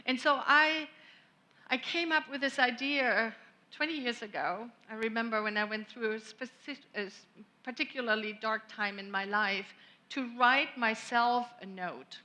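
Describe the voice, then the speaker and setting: Slow bright-voiced woman minister. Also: fairly high pitch. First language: German. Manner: gentle, reflective, unhurried with long pauses. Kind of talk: sermon. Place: church sanctuary.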